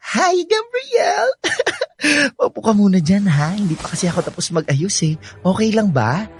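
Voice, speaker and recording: A woman's voice: mid-range voice, very animated, measured pace, husky, soft background music, exaggerated voice. A woman acting a gossipy, easily exasperated younger sister in a Filipino radio drama, chatty and exclamatory. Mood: giddy.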